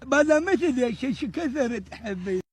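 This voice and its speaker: A coy, demanding cartoon sweetheart voiced by a woman with an Iraqi accent. The voice sits high, with comic, doubting delivery. Slight noise is behind it. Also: animated, normal speed.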